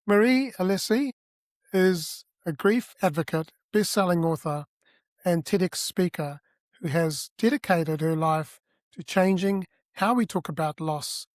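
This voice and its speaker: A man's voice: high-pitched, dry recording. Earnest male podcast host. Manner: earnest, slightly halting with restarts. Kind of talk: scripted introduction then interview questions.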